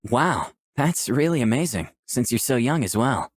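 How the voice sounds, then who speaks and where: A man's voice: medium pitch, lively intonation, normal speed, no echo, studio quality, natural voice. A man playing an eager young tech fan who earnestly narrates his own story in a visual novel. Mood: eager, polite. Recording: music behind the voice.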